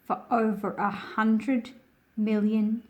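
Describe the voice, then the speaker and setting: A woman hosting a children's read-aloud, speaking in simple, deliberate phrases as if straight to young listeners. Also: fairly high pitch, flat delivery. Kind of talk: slow picture-book read-aloud with friendly asides to young viewers.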